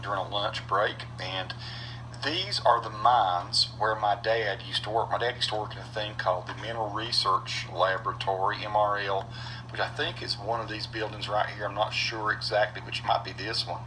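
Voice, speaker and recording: A man's voice: fairly low pitch, steady tone, normal speed, background noise. A middle-aged man, narrating his own footage in a casual, rambling way with many fillers and restarts. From North Carolina mountains, US.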